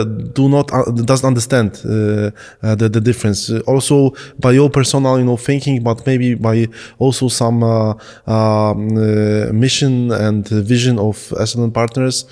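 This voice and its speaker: A man from Poland, an English speaker, hosting an interview. His questions come slowly, broken up by many uhs and ums. His voice rings out with a long reverb, as if in a hall. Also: mid-range voice, a little mellow. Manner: halting, heavy with uh and um fillers. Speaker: podcast host.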